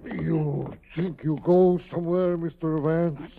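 A menacing giant with a gravelly, fairly high male voice and a thick foreign accent. He talks in blunt, broken English like a 1940s radio heavy, on a muffled old recording. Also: takes it slowly. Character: surly, threatening giant with clumsy broken English.

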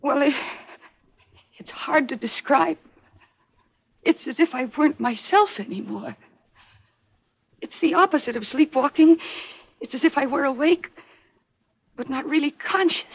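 An actress playing a troubled, dreamy young granddaughter in a 1940s radio mystery, with a halting, pleading, dramatic delivery. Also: female voice, sits high, expressive, creaky, full character voice. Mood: dazed, haunted. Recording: muffled old 1940s radio recording.

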